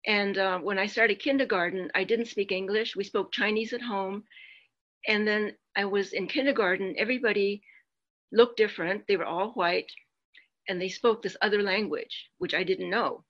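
A woman's voice: mid-range voice, fairly even intonation, moderate pace, fairly bright tone. Older woman candidly telling her story. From United States. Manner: candid, plain-spoken storytelling with self-deprecating humor. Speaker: meeting speaker. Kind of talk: personal share at a support meeting. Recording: muffled lo-fi video call audio.

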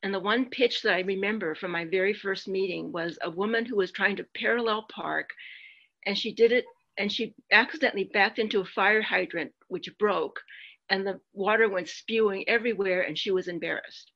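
A woman's voice: mid-range voice, normal speed, fairly bright tone. An older woman candidly sharing her personal story at a support meeting, with self-deprecating humor, heard over a video call. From United States. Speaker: meeting speaker. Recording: muffled lo-fi video call audio.

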